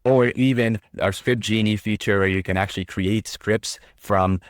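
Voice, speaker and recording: A man casually walking through a software demo, upbeat and breezy. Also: fairly even intonation, normal speed. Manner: upbeat, breezy, says "boom" often. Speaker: product demo host. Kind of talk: casual screen-recorded walkthrough.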